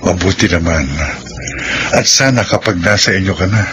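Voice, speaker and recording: An actor playing a gentle, devoted suitor in a Filipino radio drama, speaking tenderly and shyly. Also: male voice, low voice, normal speed, background noise, close to an everyday voice. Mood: tender, hesitant.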